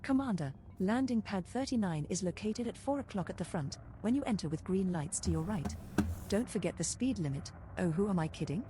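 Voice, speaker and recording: A woman's voice: medium pitch, lively intonation, fairly quick, background noise, close to an everyday voice. A woman playing a composed, lightly sardonic spaceship computer co-pilot in a game. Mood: teasing. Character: female spaceship computer co-pilot, crisp and teasing. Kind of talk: scripted game voice assistant announcements.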